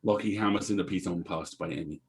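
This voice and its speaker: Low-voiced man reading scripted narration. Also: fast talker, vocal fry, darkish tone. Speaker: stage direction reader. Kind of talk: scripted narration at a table read.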